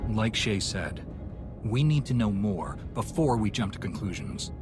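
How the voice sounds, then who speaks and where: An actor playing a composed, heroic knight in a video game, speaking calmly, concisely and earnestly. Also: male voice, on the low side, unhurried. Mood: calm, attentive. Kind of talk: video game dramatic acting. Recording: music under the voice.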